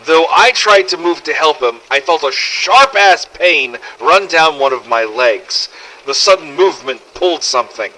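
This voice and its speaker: A man's voice: fairly high pitch, animated, unhurried, sharp tone, poor audio. A man narrating a scripted first-person survival story in character, with a dramatic, gritty delivery and some swearing. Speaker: narrator of fan-made game audio logs.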